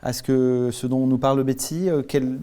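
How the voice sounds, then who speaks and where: Halting man hosting a business panel. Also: medium pitch, steady tone, warm tone. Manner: halting, many fillers. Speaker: host and founder. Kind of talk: panel discussion moderation. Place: studio.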